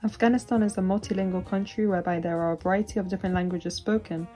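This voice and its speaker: A woman's voice: fairly low pitch, fairly even intonation, fast talker, warm tone. A woman interviewer delivering scripted questions and factual explanation. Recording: music behind the voice. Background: background music.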